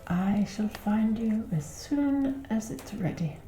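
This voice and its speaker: A woman playing a gracious, courteous innkeeper in a soft-spoken fantasy ASMR roleplay, with formal, unhurried phrasing. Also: medium pitch, expressive. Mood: gentle, attentive. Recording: roomy, echoing sound.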